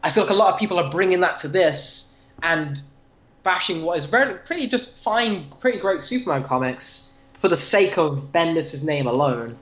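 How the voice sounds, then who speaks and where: A man with a fairly high voice talks casually as a podcast co-host. His delivery is earnest and rambling, and the recording is roomy and a little dull. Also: animated, moderate pace, fairly bright tone. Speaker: comic book review podcast co-host. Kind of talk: casual fan discussion.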